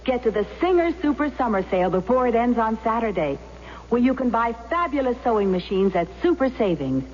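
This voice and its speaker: A woman announcing a scripted 1970s radio commercial as a sales pitch. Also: sing-song, a little fast, a little mellow. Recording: muffled echoing old radio, faint hum.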